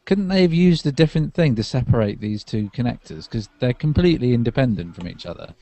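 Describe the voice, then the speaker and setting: Mellow-voiced man chatting about tech. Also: medium pitch, lively intonation, fast talker, no echo. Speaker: podcast co-host. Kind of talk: casual tech chat.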